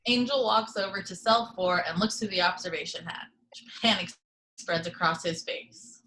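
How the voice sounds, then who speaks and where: A woman's voice: lowish voice, moderate pace, bright, forceful tone, slight background noise, low-quality recording. A woman reading screenplay action descriptions as the narrator of an amateur table read.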